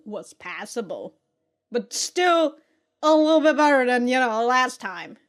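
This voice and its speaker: A woman's voice: high voice, expressive, takes it slowly. A woman giving casual, slangy reaction commentary as a video host, exclaiming and swearing freely.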